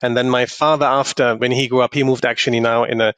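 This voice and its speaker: A man's voice: mid-range voice, fairly even intonation, fast talker, a bit bright, clear, resonant tone, no room sound. A middle-aged man with a German accent, speaking thoughtfully as a podcast interview guest, often restarting his sentences. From northern Germany. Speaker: guest, investor.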